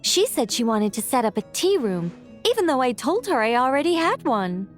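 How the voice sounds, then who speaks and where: High, lilting whimsical young witch. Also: big pitch swings, moderate pace, soft background music. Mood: explaining, thoughtful. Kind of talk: video game voice acting.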